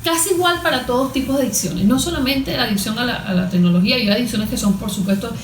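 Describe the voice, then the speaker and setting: A woman's voice: a little high, quick pace, slight background noise. A woman with a Venezuelan Spanish accent speaks as a guest therapist in an interview, explaining things didactically with everyday examples and questions she answers herself. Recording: roomy, echoing room sound.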